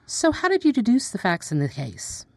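A woman acting as a brisk, formal female news presenter in a radio play. Her delivery is pointed and challenging, with very animated pitch in a dry studio sound. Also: gravelly, no room sound. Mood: probing.

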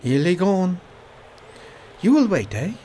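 An actor playing a grave male attendant at a deathbed who speaks halting, foreign-tinged English in a radio drama. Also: mid-range voice, very animated, warm tone, slight background noise. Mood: somber.

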